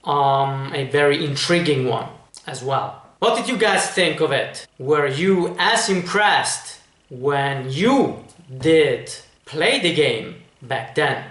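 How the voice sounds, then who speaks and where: A man presenting a reaction review as an online host, with measured, formal wording addressed straight to viewers. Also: on the high side, lively intonation, fairly bright tone. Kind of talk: reaction review commentary to camera. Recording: echoey, reverberant room.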